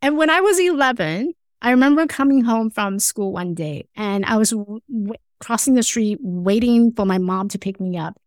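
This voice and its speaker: A woman speaks as a podcast guest, her voice sitting a little high. She tells her story earnestly, stopping and restarting phrases. The recording is dry and close, with no room sound. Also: no room sound. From Taiwan. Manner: earnest, halting, with frequent restarts and small grammar slips. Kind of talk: personal storytelling in conversation.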